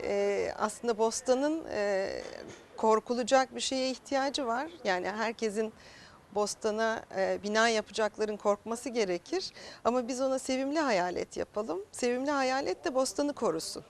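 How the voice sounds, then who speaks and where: Hesitant woman, slightly high voice. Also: moderate pace. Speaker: local interviewee. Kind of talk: hesitant interview talk.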